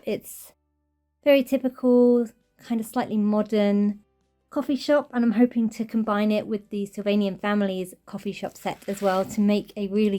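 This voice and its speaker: Chatty woman with a mellow, cold-worn voice. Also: medium pitch. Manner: chatty, enthusiastic, gushing over tiny details. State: slightly off voice while recovering from a cold. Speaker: toy collector channel host. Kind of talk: casual show-and-tell collection update.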